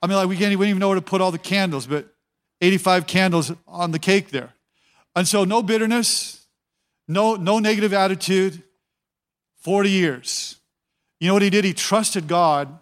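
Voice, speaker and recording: A man's voice: high-pitched, fairly bright tone. A man preaching a sermon as a pastor, talking conversationally, repeating his words and often telling listeners to watch.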